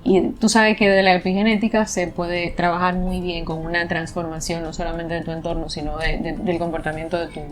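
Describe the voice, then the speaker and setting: A woman's voice: lowish voice, flat delivery, brisk, few pauses, background noise. A woman sex educator, a guest on a talk, explaining her ideas in long, flowing, emphatic sentences. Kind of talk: conversational panel talk.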